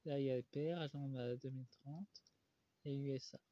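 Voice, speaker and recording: A man with a cold, doing a solo tarot card reading, slowed by many pauses and fillers. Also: medium pitch, steady tone, dry recording. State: stuffy with a cold. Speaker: tarot reader. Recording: dull lo-fi recording.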